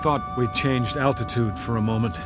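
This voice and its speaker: An actor playing a nervous, suspicious airline passenger in a tense, clipped, defensive way in a vintage-style radio drama. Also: male voice, medium pitch, normal speed, natural voice. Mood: edgy, suspicious. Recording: radio drama with loud music behind.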